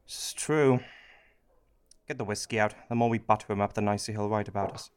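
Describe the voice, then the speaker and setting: A woman's voice: very deep voice, fairly even intonation, fairly quick, clear, resonant tone. A woman with a British accent playing a weak, petulant and rather dim Prime Minister in a satirical radio play. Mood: grumbling.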